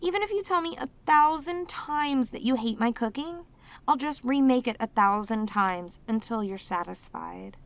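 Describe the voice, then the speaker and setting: A woman playing a sweet, clingy demoness in a romantic audio roleplay, speaking tenderly and haltingly with intense devotion. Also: a little high, expressive. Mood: tender, pleading. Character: sweet, devoted, clingy yandere demoness, long sealed away.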